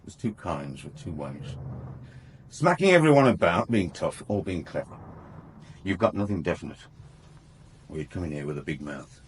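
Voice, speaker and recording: Big tough boss, low-pitched. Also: male voice, very animated, moderate pace, long pauses, a little hiss or hum. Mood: belligerent, scornful. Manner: blunt, belligerent, streetwise. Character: big, tough, suspicious Belgian garage boss. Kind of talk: radio crime drama acting.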